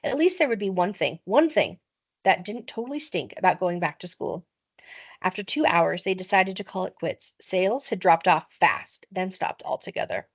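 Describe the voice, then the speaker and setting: A woman's voice: lowish voice, expressive, normal speed, breathy edge. A woman narrating a children's storybook expressively, with dramatic emphasis, like a teacher reading aloud.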